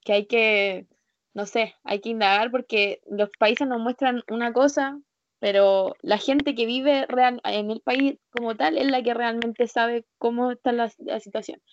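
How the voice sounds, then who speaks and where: A woman's voice: fairly high pitch, steady tone, unhurried, no echo, dull audio. A woman being interviewed as a union leader, speaking informally in a candid, earnest, colloquial way. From Spain, living in Chile.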